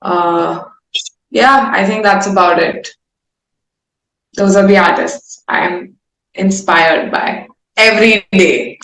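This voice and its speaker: A woman's voice: medium pitch, slow, long pauses, a bit bright. A young woman with an Indian accent chatting candidly and informally in an interview, with a playful way of talking. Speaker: singer-songwriter being interviewed. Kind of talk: casual interview conversation. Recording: roomy, echoing sound.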